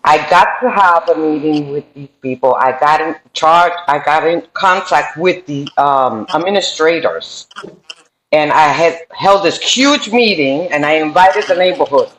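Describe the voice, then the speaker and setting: A woman's voice: deep voice, lively intonation, measured pace, a bit bright, a little hiss or hum. Middle-aged nyc woman, spanish plus new york accent, earnest guest talk w lots of ums, echoey room. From New York City. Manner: earnest, with frequent um fillers and restarts. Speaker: podcast guest. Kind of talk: telling her life story in an interview. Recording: roomy echoey room sound.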